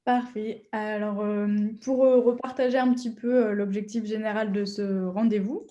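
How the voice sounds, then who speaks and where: A woman's voice: medium pitch, steady tone, moderate pace, warm tone, muffled recording. A woman hosting an online webinar, explaining the program with frequent hesitations. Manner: hesitant, many fillers. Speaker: webinar host. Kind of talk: webinar moderation.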